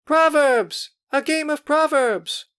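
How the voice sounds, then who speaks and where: A man voicing a group of excited young girls, calling out in ringing, impatient voices. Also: very high voice, normal speed, pitched above the performer's own voice. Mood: excited, teasing.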